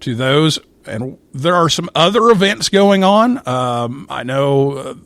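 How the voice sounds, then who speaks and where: A man hosting a casual live talk show, speaking in a halting way and often repeating his words. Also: on the high side, animated, fairly bright tone, dry recording. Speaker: radio show host.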